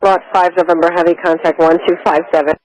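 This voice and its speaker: A man gives tower instructions in clipped, standard radio phraseology. His voice sits a bit high and stays flat and even, with little rise or fall. He reels off the clearances at a quick, businesslike clip. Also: low-quality recording. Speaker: airport tower air traffic controller.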